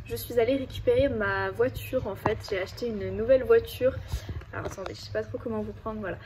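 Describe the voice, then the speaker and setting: A woman with a stuffy, hoarse voice from a cold, chatting casually in a book vlog and rambling with lots of hesitations. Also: a little high, fairly quick, darkish tone, a little hiss or hum, low-quality recording. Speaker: book vlogger.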